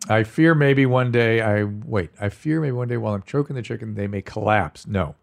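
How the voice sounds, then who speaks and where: A man's voice: fairly low pitch, gravelly. Joking man hosting a frank podcast. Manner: joking, frank, fast asides with laughs. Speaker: podcast host. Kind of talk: casual chat.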